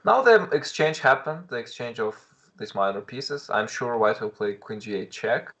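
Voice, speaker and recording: A man's voice: mid-range voice, expressive, normal speed, a bit bright. A young man with a Russian accent, commentating a live chess stream in English, thinking aloud with frequent restarts and greeting viewers in chat.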